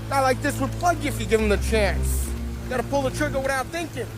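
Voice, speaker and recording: An actor playing a hot-headed, ruthless American gangster, brash and streetwise, in cinematic game acting. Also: male voice, very high-pitched, lively intonation, fast, loud music behind the voice. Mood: grim urging.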